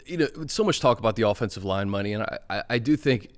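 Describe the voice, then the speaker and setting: A man's voice: on the low side, expressive, fast. An American man hosting a football show in a casual, conversational style. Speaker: sports show host.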